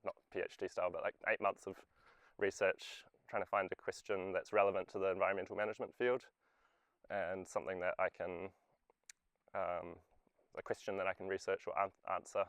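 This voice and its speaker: A man's voice: sits low, level tone, fairly quick, a bit bright, no room sound. A young adult man, a student, giving an informal short talk about himself. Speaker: student speaker at a community event.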